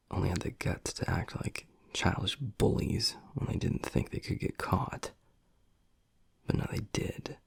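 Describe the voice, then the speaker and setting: Man playing a very gravelly, low werewolf alpha boyfriend. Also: fairly bright tone, dry recording, full character voice. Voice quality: husky, gravelly, breathy low voice. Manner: blunt, dry, murmured. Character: gruff young male werewolf pack alpha, protective, secretly tender boyfriend. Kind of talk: intimate boyfriend audio roleplay, close and quiet.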